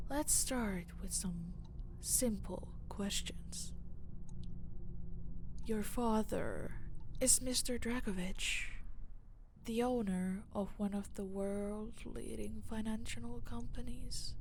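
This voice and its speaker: A woman playing a cold, domineering mafia boss in a roleplay audio drama, taunting and menacing, often dropping into a breathy hush. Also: a little high, noisy background.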